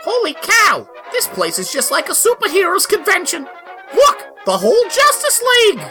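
Man putting on an excitable, very high-pitched onlooker. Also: normal speed, soft background music, exaggerated voice. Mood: excited. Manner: exclaiming, gushing. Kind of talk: comedic dramatic comic-book reading.

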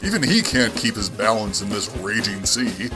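A man's voice: fairly low pitch, very animated, moderate pace, over music. A man playing a confident, calculating superhero who thinks aloud in a comic-book dramatic reading. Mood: concerned, calculating.

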